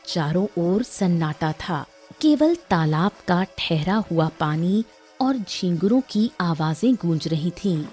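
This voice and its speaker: A woman narrator giving dramatic scripted narration for a cartoon story, in a husky, dark voice. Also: fairly low pitch, expressive, takes it slowly, faint music behind.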